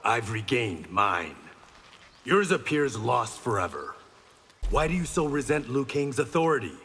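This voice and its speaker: An actor playing a stern, honorable reformed-gangster swordsman in a video game, speaking in a measured, terse, resolute way. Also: mid-range voice, very animated, pushed and bright, slightly rough, exaggerated voice. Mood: defiant. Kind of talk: video game fight banter. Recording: reverberant hall, faint background noise.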